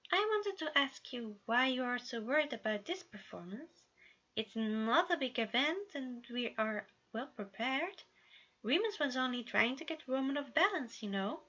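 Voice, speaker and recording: A woman voicing a gentle, fatherly man in a story reading, cheerful, encouraging and soothing. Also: high voice, animated, normal speed, muffled recording, pitched above the performer's own voice, heavily acted. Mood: gentle, reassuring.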